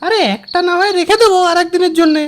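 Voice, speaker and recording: An actor voicing an eager young village lad in an animated moral story. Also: extremely high pitch, fast, noisy background.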